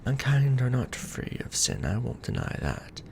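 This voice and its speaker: Man playing weary noble vampire lord, devoted lover, very gravelly, widely swinging intonation, soft murmured ASMR delivery, formal old-fashioned phrasing. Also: mid-range voice, huge pitch swings, measured pace, background noise, exaggerated voice. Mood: brooding, bitter. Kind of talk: ASMR romantic roleplay acting. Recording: background music bed.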